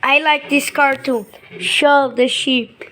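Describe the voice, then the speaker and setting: A woman chatting casually along with a video, halting and a bit unsure. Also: sits high, fairly even intonation, a bit bright. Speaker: video creator reacting. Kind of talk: casual play-along commentary.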